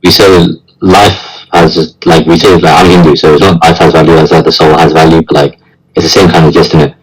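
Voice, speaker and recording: A man's voice: a bass voice, little pitch movement, a little fast, rough recording. A young man, chatting casually as a guest, hesitant and rambling with lots of slang. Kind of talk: casual chat.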